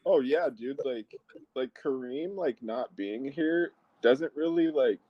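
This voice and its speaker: A man's voice: mid-range voice, expressive, takes it slowly, no echo, dull audio. A young man in a casual voice chat, rambling and filling his speech with "like". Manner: rambling, heavy use of "like". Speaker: chat participant.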